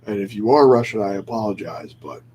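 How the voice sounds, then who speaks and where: A man's voice: lowish voice, animated, a little fast, a little mellow, a little hiss or hum. Rambling man ranting to camera. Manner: opinionated, rambling, self-correcting. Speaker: vlogger. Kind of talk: casual rambling rant to camera.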